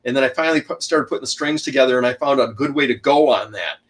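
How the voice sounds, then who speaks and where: A middle-aged man, an author and shipwreck researcher, talking loudly as a guest in an online interview and packing in detail with many restarts. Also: fast. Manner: loud, booming voice, packs in lots of detail; rambling, frequent restarts and uh fillers.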